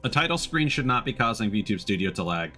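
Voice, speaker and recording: Low-pitched male streamer, casual gameplay commentary, chatty, music playing behind. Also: very animated, brisk, bright, forceful tone. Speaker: live streamer playing a video game.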